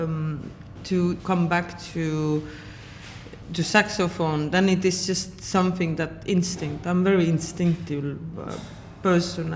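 A woman in her forties from Estonia with an Estonian accent, answering interview questions as a saxophonist in a reflective, halting way with many fillers. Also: low-pitched. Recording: long hall reverb, faint noise.

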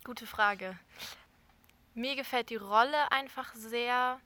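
A woman's voice: a little high, slow pace, pushed and bright. A young woman, a soprano from Berlin, answering interview questions thoughtfully and searching for words. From Berlin, Germany. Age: young adult. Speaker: soprano singer.

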